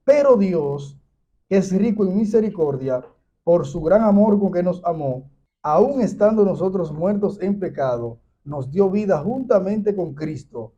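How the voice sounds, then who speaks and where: Dominican guy hosting a church testimony show, soft dark tone, kinda muffled audio. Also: a little high. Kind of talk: scripture reading and interviewing. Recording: dull, muffled lo-fi sound.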